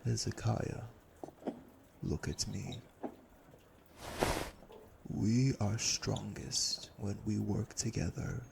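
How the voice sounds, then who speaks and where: An actor playing a cold, cruel, domineering vampire elder brother in an audio drama, speaking deliberately with gravelly menace. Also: male voice, fairly low pitch, background noise, full character voice. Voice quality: gravelly, creaky. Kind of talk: dramatic audio roleplay acting.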